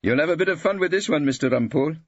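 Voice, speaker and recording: A man's voice: on the high side, lively intonation, rapid-fire, dry recording, dull audio, pitched above the performer's own voice. A man voicing an earnest, zealous Welsh solicitor in an audiobook, preachy and optimistic. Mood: hurt, indignant. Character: earnest, eager, indignant Welsh male solicitor.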